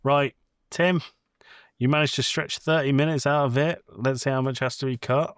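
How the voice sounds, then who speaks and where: A man's voice: medium pitch, steady tone, moderate pace, a bit bright, clean tone, no room sound, studio quality. A British man co-hosting a podcast, talking casually about films in a joking, blunt manner. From Britain. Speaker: podcast co-host. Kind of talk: casual film discussion.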